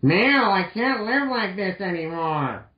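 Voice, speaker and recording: Slow, heartbroken young girl, acted by a woman. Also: mid-range voice, expressive. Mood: wounded, sad. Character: heartbroken young girl, gentle, wounded, lovesick. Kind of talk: amateur fan fiction dramatic reading. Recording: muffled, echoey home recording.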